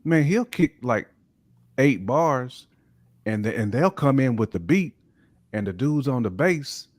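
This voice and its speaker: A man's voice: mid-range voice, big pitch swings, measured pace, no echo. A man co-hosting a podcast, chatting casually and enthusiastically about hip hop with plenty of slang. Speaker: podcast co-host.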